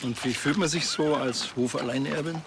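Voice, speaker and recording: A man playing a dry, blunt, quibbling country policeman in a TV comedy, terse and deadpan. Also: on the high side, normal speed, heavy background noise, close to an everyday voice. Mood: probing. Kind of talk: TV comedy acting.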